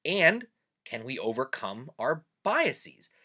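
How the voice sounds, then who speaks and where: Lively, bright-voiced man hosting a chatty monologue. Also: medium pitch, slow pace. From Pennsylvania Dutch country, USA. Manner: chatty and animated, frequent "uh" fillers. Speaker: host and teacher. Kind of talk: casual podcast monologue.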